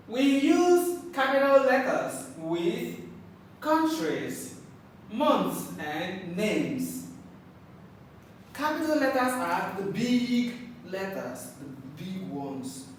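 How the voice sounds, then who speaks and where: A man teaching an English lesson to children, slow and patient, repeating words and spelling out capital letters. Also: sits high, sing-song. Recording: echoey room, far from mic, faint noise.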